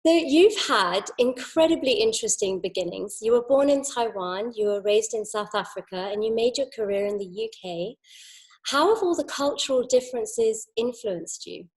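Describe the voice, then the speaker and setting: A woman's voice: on the high side, animated, normal speed, gravelly, darkish tone. A woman hosting an online interview, opening with a scripted introduction and asking friendly questions. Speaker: interview host.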